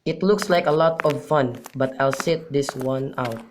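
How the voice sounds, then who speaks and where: A melancholy, lovesick youth in a student radio play, acted by a young man. He speaks earnestly and mournfully at a middling pitch, on a homemade recording with clear noise and clicks. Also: a little slow, lightly acted. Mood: glum. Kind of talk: amateur student radio drama acting.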